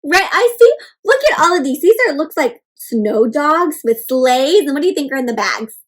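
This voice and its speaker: A woman's voice: high-pitched, very animated, normal speed. A woman hosting an interactive storybook read-aloud for kids, asking questions and explaining words simply. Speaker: children's read-aloud host, teacher.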